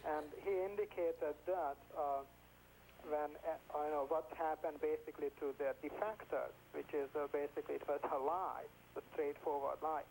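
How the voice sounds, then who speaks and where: A man from Hungary with a Hungarian accent, speaking earnestly and haltingly as a caller on a telephone line. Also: fairly high pitch, fairly even intonation, vocal fry, a little mellow. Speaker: phone-in caller. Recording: telephone call on noisy old broadcast tape.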